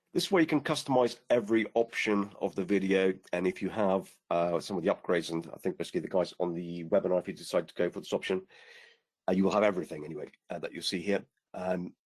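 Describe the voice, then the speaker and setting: A man with a lowish, slightly hoarse voice talks through a software demo over a video call. He explains patiently, filling gaps with "uh" and "um" and restarting his sentences. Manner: relaxed explaining, many fillers and restarts. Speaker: product demonstrator on a webinar. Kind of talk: screen-share software demo.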